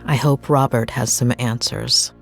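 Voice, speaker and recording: A low-voiced woman therapist in a narrative video game. She is composed but weary, weighing things carefully and keeping her guard up. Music plays behind her. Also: steady tone, a little slow, gravelly, full character voice. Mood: pensive. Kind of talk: naturalistic video game cutscene acting.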